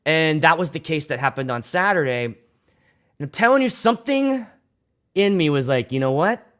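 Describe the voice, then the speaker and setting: A man's voice: on the high side, animated, normal speed, fairly bright tone. A young man from Pittsburgh with a Pittsburgh accent, hosting a casual livestream as a DJ, rambling, swearing freely and restarting his sentences. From Pittsburgh, USA. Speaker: DJ and livestream host.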